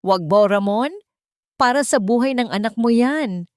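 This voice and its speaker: A woman's voice: a little high, unhurried, darkish tone, dry recording, voice pushed up, close to an everyday voice. Casting: exhausted pregnant young wife, voiced by a woman narrator, begging desperately, audio drama, dry close sound. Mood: desperate pleading. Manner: pleading, exhausted.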